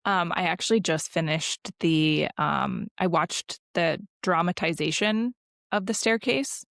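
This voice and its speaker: A woman co-hosting a podcast, chatting casually in a halting, slangy way full of likes and ums. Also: lowish voice, vocal fry, a bit bright, no room sound, studio quality. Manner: halting, filler-heavy, slangy conversational delivery. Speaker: podcast co-host.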